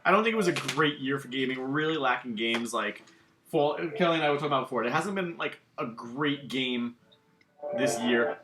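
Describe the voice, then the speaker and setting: A man chatting loosely about video games, his voice sitting at an ordinary middle pitch. He rambles, padding his sentences with "um" and "like." The sound rings around a reverberant room. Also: brisk, background noise. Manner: rambling, many fillers like "um" and "like". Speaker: gaming channel co-host. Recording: echoey room.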